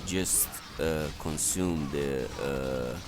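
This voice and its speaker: Very deep, slow-talking man giving game instructions. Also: music in the background. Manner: hesitant, instructional, step-by-step. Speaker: gameplay guide narrator. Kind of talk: unscripted strategy game walkthrough commentary.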